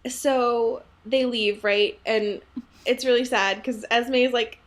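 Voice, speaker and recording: High-voiced, giggly woman chatting casually. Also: steady tone, takes it slowly. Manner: giggly, playful sarcastic asides. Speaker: podcast co-host. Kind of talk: casual book discussion chat.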